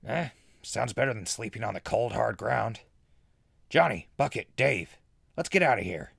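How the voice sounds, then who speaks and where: A man playing an easygoing ghoul radio host in a post-apocalyptic audio drama, laid-back and conversational with a gravelly voice. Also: medium pitch, lively intonation, moderate pace, fairly bright tone, dry recording, studio quality, full character voice. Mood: relaxed. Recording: music bed under the voice.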